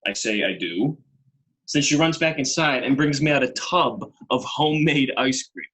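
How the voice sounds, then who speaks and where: A man's voice: medium pitch, moderate pace, fairly bright tone, natural voice, low-quality recording. An actor playing a chatty, well-meaning delivery guy, rambling and mildly flustered in a casual video-call play. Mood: bemused, sympathetic. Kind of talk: casual one-sided call in a Zoom play.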